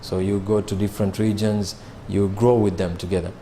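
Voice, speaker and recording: A man, answering interview questions about his sales job in a measured way, with frequent fillers and restarts. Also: on the low side, flat delivery, mellow, a little hiss or hum. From Kenya. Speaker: sales manager.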